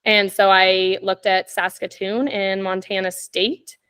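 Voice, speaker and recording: A woman in her twenties from Canada, a podcast guest telling stories in casual chat, laughing often and filling pauses with "like" and "um". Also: mid-range voice, little pitch movement, a bit bright, clear, resonant tone. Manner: chatty, laughs often, many "like" and "um" fillers.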